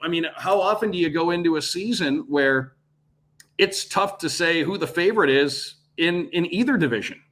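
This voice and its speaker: A man's voice: fairly high pitch, expressive, normal speed, a bit bright. A man who works as a radio play-by-play broadcaster, guesting on a casual sports podcast and talking through his analysis in long, flowing runs.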